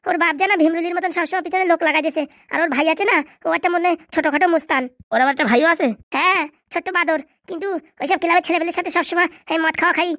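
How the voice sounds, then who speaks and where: A man voicing an excitable, cheeky young male cartoon buddy, with slangy bantering delivery and a pitched-up effect. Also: no echo, studio quality, full character voice. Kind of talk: comedy cartoon dubbing in colloquial rural dialect.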